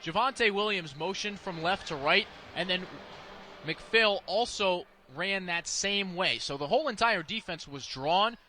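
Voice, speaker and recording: A man's voice: high-pitched, a little slow, bright, forceful tone. A man giving analytical color commentary on a live radio football broadcast, explaining plays and offering opinions, with stadium noise behind him.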